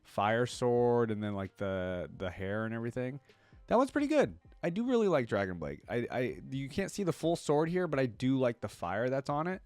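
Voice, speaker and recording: A man's voice: medium pitch, sing-song, normal speed, darkish tone, no room sound, soft background music. A man giving casual, slangy reaction commentary as a video host, thinking aloud as he goes.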